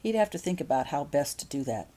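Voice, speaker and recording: A woman reads a story aloud in an expressive narrating style. Her voice sits on the low side, and her intonation moves widely from phrase to phrase. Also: fast talker. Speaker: author reading own fiction.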